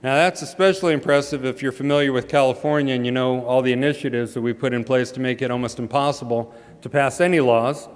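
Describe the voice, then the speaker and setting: A man's voice: medium pitch, steady tone, fast, few pauses, clear, resonant tone, in a large hall. A man introducing the main speaker at an event, with dry humor and frequent hesitant fillers. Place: large hall.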